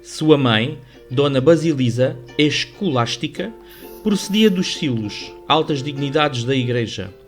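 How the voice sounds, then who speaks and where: A man with a European Portuguese accent giving formal, measured, scripted literary narration for a radio drama. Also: mid-range voice, animated. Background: music under the voice.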